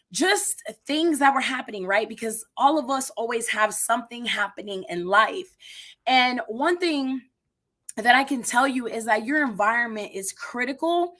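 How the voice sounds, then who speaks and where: A woman in her thirties hosting an energetic motivational live stream, talking straight to her viewers, her voice a little raspy today. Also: on the high side. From United States. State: slightly raspy voice. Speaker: livestream host.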